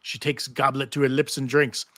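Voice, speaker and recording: A man's voice: mid-range voice, flat delivery, normal speed, fairly bright tone, no room sound. A man narrating stage directions in a live table read of a TV script.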